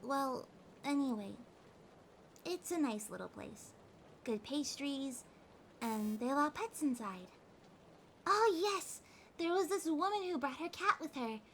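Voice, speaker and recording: A woman acting a needy, tender cat girl in an intimate ASMR audio drama, speaking haltingly and emotionally with breathy whispers. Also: sits high, expressive. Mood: chatty, pouting. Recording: rain ambience noise behind the voice. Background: rain sounds.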